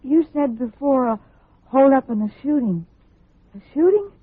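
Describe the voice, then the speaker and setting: Breathy, anxious young sweetheart. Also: female voice, high voice, lively intonation, normal speed, dry recording. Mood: worried. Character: anxious, loyal young sweetheart of a troubled man. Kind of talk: 1950s radio drama acting. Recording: muffled phone-like vintage radio recording.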